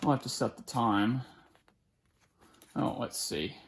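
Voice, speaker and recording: A person giving a casual hands-on demonstration, halting and muttering to themself while working through each step. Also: medium pitch, slight background noise. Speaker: video creator doing a product setup.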